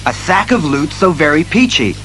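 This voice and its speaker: An actor playing an earnest, methodical masked detective hero who reasons aloud in a declamatory audio drama style. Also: male voice, fairly high pitch, big pitch swings, pushed and bright, faint music behind, low-quality recording. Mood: earnest, resolute. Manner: deliberate, declamatory deduction.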